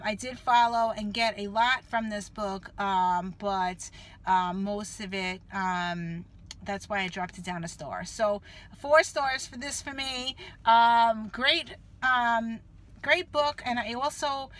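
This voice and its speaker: A woman reviewing books in a casual vlog, slightly nasal from a cold, with many fillers and an easygoing, rambling delivery. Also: mid-range voice. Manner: relaxed, filler-heavy, unhurried. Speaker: book reviewer.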